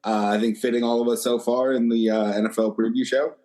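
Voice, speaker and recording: A man's voice: mid-range voice, brisk. A man from Ohio, a podcast guest, introducing himself casually with many fillers on a video call. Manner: relaxed, filler-heavy. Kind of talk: casual chat.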